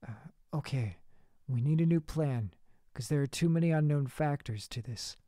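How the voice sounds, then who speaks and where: An actor playing a jumpy, excitable young man who snaps easily. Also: fairly high pitch, moderate pace, no echo, clean studio sound, close to an everyday voice. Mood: uneasy. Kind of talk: fan audio drama acting.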